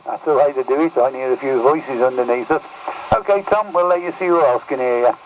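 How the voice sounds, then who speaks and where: A man's voice: mid-range voice, a little fast. A man chatting casually as a CB radio operator, swapping signal reports over the air. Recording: muffled, distant radio with faint noise.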